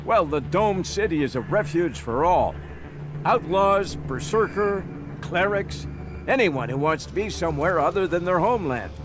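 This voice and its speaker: A man's voice: high-pitched, lively intonation, moderate pace, noisy background. An actor playing a fussy, anxious male city official in a video game, easily flustered. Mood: earnest, weary. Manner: flustered, stammering when rattled. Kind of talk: video game dialogue acting.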